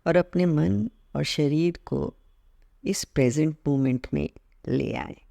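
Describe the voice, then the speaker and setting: A man leading a guided meditation as an instructor, speaking calmly in short measured phrases with gentle pauses. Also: fairly high pitch, creaky, no echo, clean studio sound. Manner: mixes English words into Hindi, repeating terms in both.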